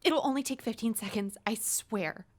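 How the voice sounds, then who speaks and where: A woman's voice: a little high, moderate pace, darkish tone, lightly acted. A woman playing a distressed, pleading neighbor around thirty in an audio drama. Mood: anxious pleading. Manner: pleading, emotive. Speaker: actor.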